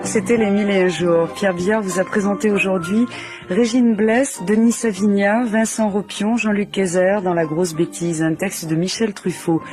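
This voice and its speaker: A woman's voice: medium pitch, steady tone, moderate pace. A woman radio announcer reading scripted closing credits. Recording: voice over clear background music. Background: music.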